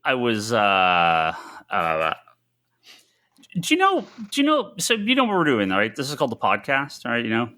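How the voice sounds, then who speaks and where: A man co-hosting a casual comics podcast, talking haltingly, repeating words and acting out his own reactions. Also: medium pitch, wildly swooping pitch, bright, forceful tone. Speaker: podcast co-host.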